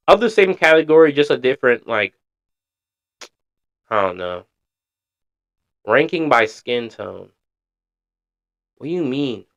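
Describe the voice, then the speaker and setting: A man's voice: medium pitch, a bit bright, highs cut off. A man streaming casual reaction commentary, slangy and blunt, swearing freely.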